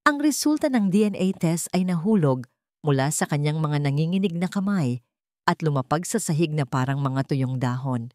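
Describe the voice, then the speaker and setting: A woman narrating a dramatic scripted story in slow, deliberate phrases with pauses. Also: sits low, animated, darkish tone, no room sound, studio quality.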